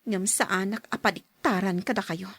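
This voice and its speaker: A woman acting a young daughter in love, firm in her principles, in an Ilocano radio drama with earnest, expressive delivery. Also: medium pitch, brisk, no echo. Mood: hurt, firm.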